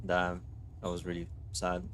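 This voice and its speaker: A man's voice: low-pitched, monotone, measured pace, heavy background noise. A man live streaming casual gaming reactions, informal and often muttering to himself. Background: game music.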